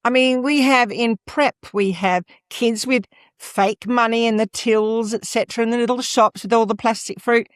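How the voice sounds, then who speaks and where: A woman's voice: fairly high pitch, fairly even intonation, moderate pace, no room sound, clean studio sound. An older Australian woman, a former school principal, talking emphatically as a guest in an interview. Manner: emphatic, leans on "absolutely" and "et cetera". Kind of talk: interview conversation.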